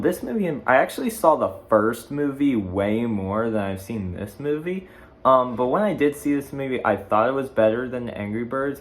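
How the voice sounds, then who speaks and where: A fifteen-year-old boy casually hosting a video in a rambling way, often correcting himself. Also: medium pitch. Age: teens, fifteen. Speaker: video blogger, host. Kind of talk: casual chat ranking video game movies.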